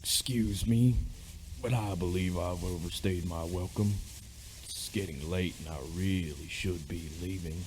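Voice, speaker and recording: A man's voice: low-pitched, measured pace, background noise. A man playing a grave, courteous old soldier in an amateur radio play. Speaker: high school theatre performer.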